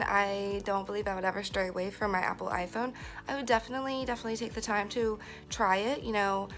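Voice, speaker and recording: A woman's voice: fairly high pitch, bright, forceful tone. A woman casually giving her opinion in an interview.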